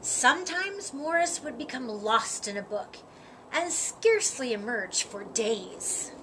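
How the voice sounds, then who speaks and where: A woman reading a children's story aloud, with expressive, deliberate phrasing and dramatic emphasis. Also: on the high side. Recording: audible background noise.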